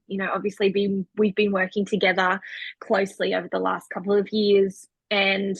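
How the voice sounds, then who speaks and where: A woman's voice: medium pitch, vocal fry, husky, no room sound, poor audio. A woman in her thirties co-hosting a podcast, speaking in an earnest, uplifting, casual way. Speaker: podcast co-host. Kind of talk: casual chat.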